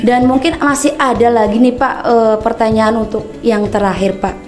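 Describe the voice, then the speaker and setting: Young female host from Tegal, higher voice, affirming and playful; echoey room, faint music. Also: fairly even intonation, moderate pace, darkish tone. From Tegal, Central Java. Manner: agreeable, frequent affirmations, playful. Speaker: podcast host. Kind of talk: casual podcast interview.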